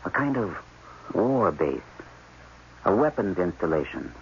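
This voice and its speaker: An actor playing an embittered, fatalistic scientist held captive, speaking emphatically in a 1980s radio drama. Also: male voice, deep voice, big pitch swings, a little slow, vocal fry, heavily acted. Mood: grim, hushed. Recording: dull, noisy old radio broadcast.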